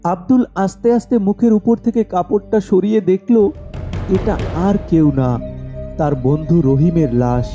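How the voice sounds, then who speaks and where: A man's voice: high-pitched, measured pace, dark tone, soft background music. A man narrating a scripted horror story as the storyteller.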